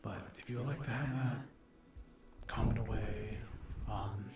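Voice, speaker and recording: A man chatting casually to his ASMR viewers in a soft voice that often drops to a whisper, hesitant and apologetic. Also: lowish voice, steady tone, unhurried. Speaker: ASMR roleplay video creator and host. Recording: roomy echo.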